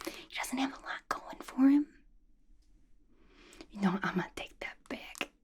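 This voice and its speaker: A woman voicing a scatterbrained, gossipy, clingy young female villain in a breathy, intimate ASMR whisper, chatty and playful with slangy grammar. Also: high voice, lively intonation, a little slow. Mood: gossipy, teasing. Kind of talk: ASMR roleplay, whispered to the listener.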